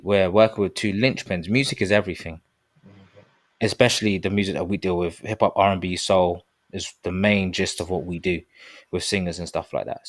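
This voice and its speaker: A man in his thirties with a low, deep voice speaks in an earnest, rambling way, often drifting into plugs for his own project. The recording is close and dry, with no room sound. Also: no echo. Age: 30s. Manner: earnest, rambling, promotional asides. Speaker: podcast co-host. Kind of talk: casual discussion.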